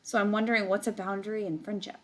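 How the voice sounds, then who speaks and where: A woman's voice: mid-range voice, fast, a little hiss or hum. Woman reading a picture book to children. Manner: animated storytelling, stretches words, chats directly to young listeners. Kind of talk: read-aloud to children with casual explaining asides.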